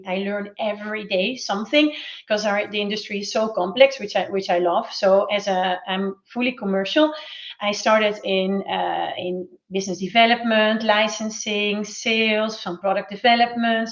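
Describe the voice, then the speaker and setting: A woman's voice: mid-range voice, muffled recording. A woman in her forties with a Dutch accent, introducing herself on a panel with frequent restarts and fillers. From Netherlands. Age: 40s. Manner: halting, many restarts and fillers. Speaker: panel guest, pharma executive.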